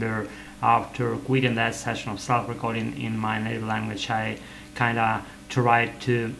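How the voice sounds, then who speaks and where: A man whose first language is Russian, rambling in English in a vlog from his apartment, halting with many fillers and self-corrections. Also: on the low side, gravelly, fairly bright tone, poor audio. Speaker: vlogger. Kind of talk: rambling English practice monologue.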